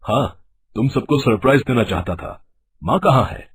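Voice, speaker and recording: A man's voice: mid-range voice, animated, normal speed, slightly rough, dry recording. A man playing an earnest, concerned adult son in acted Hindi family story dialogue.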